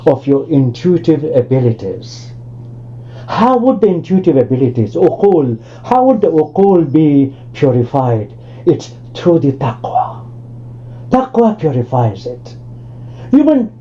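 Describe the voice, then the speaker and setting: Older man lecturing with lively pitch. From Tanzania. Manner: discursive, many asides and restarts. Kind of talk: academic lecture over video call. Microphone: video call. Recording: lo-fi online call audio.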